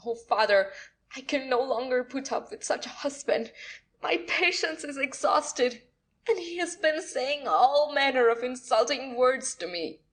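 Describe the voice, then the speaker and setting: Woman as a high-voiced, indignant young wife. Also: lively intonation, measured pace. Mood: aggrieved complaint. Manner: dramatic, scolding, aggrieved. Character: scheming young wife playing the indignant victim. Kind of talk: audio play of classic stage comedy.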